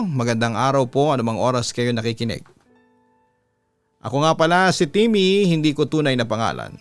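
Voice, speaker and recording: A Filipino man with a smooth, resonant voice reads a horror letter like a radio storyteller. His delivery is deliberate and dramatic, close on the mic, with music playing softly underneath. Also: mid-range voice, no room sound. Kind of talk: dramatic reading of a listener's letter. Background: music bed.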